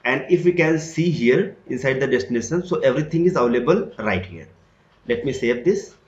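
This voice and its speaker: Man explaining software in a roomy, dull recording. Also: mid-range voice, animated, a little fast. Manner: step-by-step explanatory, repeats "so" often. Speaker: tutorial instructor. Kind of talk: screen-recorded software tutorial.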